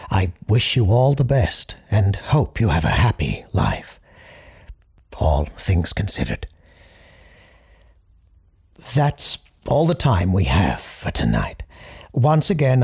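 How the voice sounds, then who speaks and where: A man playing a solemn parapsychologist who hosts a late-night call-in show in an audio drama, speaking formally and deliberately in a husky, gravelly voice. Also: sits low, wildly swooping pitch, darkish tone, heavily acted. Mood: calm, formal. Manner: formal, deliberate, halting pauses. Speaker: radio call-in host. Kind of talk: scripted audio drama, deliberate radio monologue.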